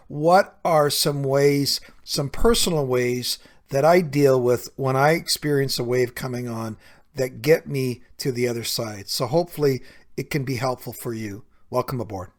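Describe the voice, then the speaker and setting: A man's voice: mid-range voice, moderate pace. A man hosting a podcast solo, talking conversationally and earnestly, explaining things directly to the listener as a coach would. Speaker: podcast host and recovery coach.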